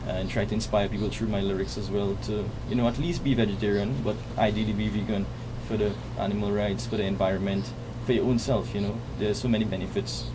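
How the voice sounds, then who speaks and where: A man's voice: fairly low pitch, little pitch movement, quick pace, few pauses. A man, a musician guest talking earnestly in a casual radio studio interview. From Trinidad. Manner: earnest, chatty, lots of "you know". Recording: noisy radio broadcast capture.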